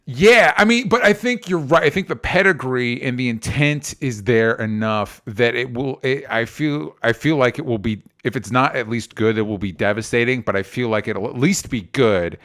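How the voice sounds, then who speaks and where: A man's voice: mid-range voice, normal speed, hardly any pauses, fairly bright tone. A man co-hosting a podcast, giving casual opinions on movies in a hesitant way, often circling back and correcting himself mid-sentence. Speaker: podcast co-host reviewing movie trailers.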